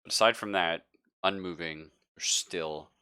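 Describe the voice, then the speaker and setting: A man narrating a horror tabletop game as game master, improvising in a hesitant, halting way. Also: low-pitched, sharp tone, clean tone.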